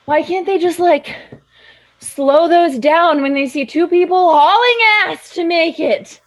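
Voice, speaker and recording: A woman's voice: extremely high pitch, a little slow. A woman playing a frustrated young commuter who swears after missing her train. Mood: exasperated. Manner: swearing, exasperated. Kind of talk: stage play performed as audio.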